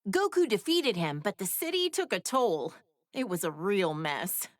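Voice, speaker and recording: Sassy, animated anime woman. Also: mid-range voice, a little slow, no echo, studio quality. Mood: breezy explaining. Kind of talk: animated game voice acting.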